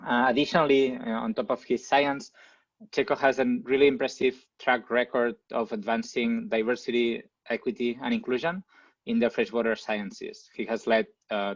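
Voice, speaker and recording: A man hosting an online seminar, giving a semi-formal introduction in short, halting phrases over a video call. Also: medium pitch, level tone, no room sound, lo-fi sound. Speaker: seminar host introducing the speaker.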